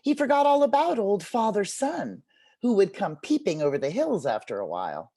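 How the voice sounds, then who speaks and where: Expressive woman teacher with lively swooping intonation. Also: medium pitch, moderate pace. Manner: encouraging, expressive, addresses listeners like young children. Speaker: literacy workshop teacher, storyteller. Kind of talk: interactive read-aloud with instructions to a group. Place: video call.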